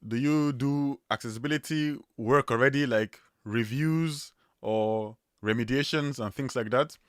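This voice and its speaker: A man with a strong Nigerian accent hosts a casual livestream in a chatty, easygoing way, full of fillers and restarts. His voice sits at a middling pitch. The sound is dry and close, with no room echo. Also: unhurried, clean tone. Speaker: livestream host.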